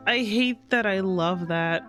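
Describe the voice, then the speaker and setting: A woman's voice: medium pitch, slow, a bit bright, faint music behind. A woman reacting casually to a video game as a streamer, giggly and given to swearing.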